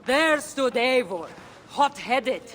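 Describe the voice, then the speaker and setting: Wry Greek warrior, swooping delivery. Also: female voice, high voice, expressive, takes it slowly, a bit bright, exaggerated voice. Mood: playful reciting. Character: confident, witty ancient Greek female warrior, worldly and wry.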